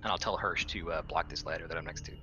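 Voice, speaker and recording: A man's voice: low voice, steady tone, fast talker. A man bantering casually as a player in a tabletop roleplaying game. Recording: dull lo-fi sound, faint music.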